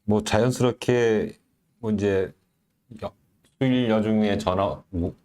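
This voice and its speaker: An interviewer asking questions calmly and giving short listening responses. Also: mid-range voice, slow. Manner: calm, with short backchannel responses.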